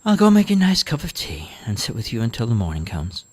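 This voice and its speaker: A man's voice: medium pitch, wildly swooping pitch, brisk, darkish tone, slightly rough, pitched above the performer's own voice. A man voicing a patient, weary grown daughter in a storybook reading, soothing and exasperated by turns.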